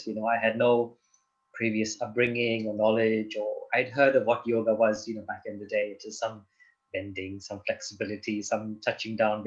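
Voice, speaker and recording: A man from Zimbabwe, a guest on a chat show, answering in a calm, explanatory way and often checking in with the listener. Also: fairly low pitch, lo-fi sound. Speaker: guest, doctor and yoga teacher. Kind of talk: interview answers.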